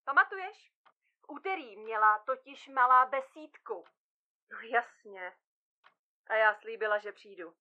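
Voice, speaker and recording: An actress playing a frazzled, blunt surgeon of about thirty in a comic audio drama, colloquial, sarcastic and exasperated. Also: female voice, a little high, expressive, long pauses, dull audio, lightly acted. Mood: bickering, sarcastic. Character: frazzled, blunt thirty-year-old woman surgeon split in two, bickering.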